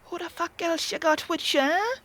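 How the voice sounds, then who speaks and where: A woman's voice: very high voice, normal speed, background noise. A woman playing a tough, wry, commanding boat captain in a fantasy audio drama. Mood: incredulous, angry.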